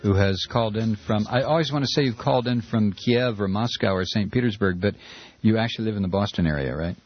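A man's voice: on the low side, fast, faint music behind. A man hosting a call-in radio show, rambling with many fillers and chuckles. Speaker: radio talk show host. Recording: dull lo-fi radio audio, dry.

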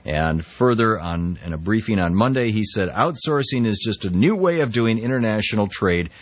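A man's voice: on the low side, expressive, moderate pace, no echo, muffled recording. A middle-aged man from the United States hosting a talk show, giving a conversational political commentary with frequent restarts and quoted readings. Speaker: talk radio host.

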